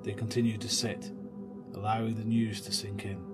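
A man's voice: on the low side, flat delivery, normal speed, over loud music. A man reading scripted narration for an audio drama.

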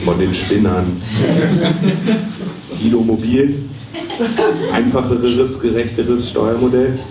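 Man playing a slow, insistent, boozy politician. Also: mid-range voice, fairly even intonation, natural voice. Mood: exasperated, secretive. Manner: halting, insistent, short phrases. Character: insistent male politician, boozy old acquaintance. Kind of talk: live comedy radio play on stage. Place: small dark theatre stage, live. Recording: distant, echoey, noisy stage recording.

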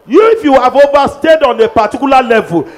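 Man, Nigerian accent, middle-aged, pastor, high-pitched, fervent, repeats declarations. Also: brisk. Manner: fervent, shouting declarations, repeating lines for the crowd. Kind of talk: prophetic prayer sermon. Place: large church auditorium, on a stage at a pulpit. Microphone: handheld microphone.

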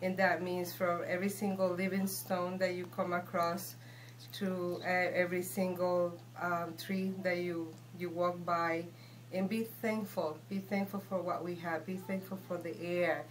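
A woman from Guatemala with a Guatemalan Spanish accent, giving an informal spiritual talk in an earnest, reassuring, halting manner. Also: fairly low pitch, flat delivery, a little hiss or hum, rough recording. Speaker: shaman and healer, guest. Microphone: phone handed over.

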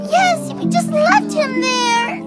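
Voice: very high voice, heavily acted. An actor voicing a plucky, loyal little girl bird in a dubbed cartoon, earnest and childlike. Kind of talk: English-dubbed cartoon acting. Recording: loud music under the voice.

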